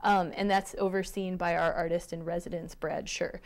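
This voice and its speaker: A woman with a slightly low voice answers as an interview guest. She explains her work as an artistic director in a conversational, thoughtful way, halting mid-phrase as she picks her words. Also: flat delivery.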